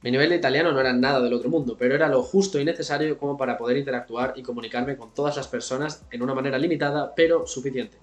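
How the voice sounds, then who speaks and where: A man in his early twenties speaks with a Castilian Spanish accent at a brisk clip. He explains like a video host teaching his viewers, articulate and didactic. His medium-pitched voice carries a noticeable room echo. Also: steady tone. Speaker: language-learning video creator and host. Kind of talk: explanatory vlog narration to viewers. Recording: roomy, echoing sound.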